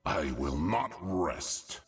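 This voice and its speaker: A man playing a stern, brutal military commander in video game voice lines, with a gravelly, harsh voice and clipped commanding delivery. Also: low voice, expressive, drawn-out words, recorded from a distance, exaggerated voice. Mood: grim resolve. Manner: commanding, severe, clipped battle declarations. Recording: game clips with music behind.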